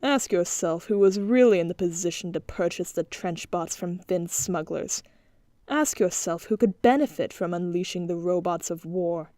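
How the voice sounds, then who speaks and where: A woman's voice: fairly low pitch, dry recording, lightly acted. Woman playing a cold, scornful crime boss. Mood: menacing. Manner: slow, measured, quietly threatening.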